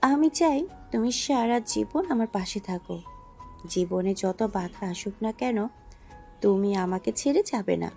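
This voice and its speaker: A woman voicing a young bride who pleads slowly and softly, with a slightly gravelly voice. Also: medium pitch, animated, soft background music, voice pushed up. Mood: pleading.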